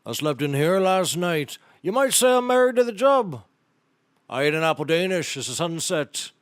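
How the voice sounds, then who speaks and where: Man playing a high-voiced, folksy eccentric oddball. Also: sing-song, moderate pace, smooth, no echo, clean studio sound. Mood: conspiratorial, dramatic. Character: eccentric odd-jobbing man pitching a monster hunt. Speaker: actor in scripted comedy series. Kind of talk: comedic audio drama acting.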